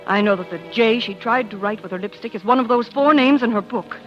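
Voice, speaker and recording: High-voiced earnest young wife, actress in vintage radio drama. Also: female voice, a little fast, natural voice. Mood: confiding, determined. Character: anxious devoted young wife playing coy amateur sleuth. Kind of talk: 1940s radio acting. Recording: muffled vintage radio recording with music.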